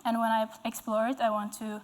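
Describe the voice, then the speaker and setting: A woman's voice: a little high, completely flat delivery, quick pace. A young woman musician with a Norwegian accent, a native Norwegian speaker answering interview questions hesitantly as she searches for words. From Norway, west coast. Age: young adult. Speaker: guest, musician. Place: indoor stage with dark curtains.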